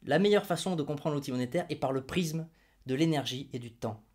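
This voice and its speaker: Sardonic man with a higher voice. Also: brisk, darkish tone. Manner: ironic and mocking, then patiently didactic; addresses viewers directly with rhetorical questions. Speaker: video host explaining money and crypto. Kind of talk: opinion explainer to camera.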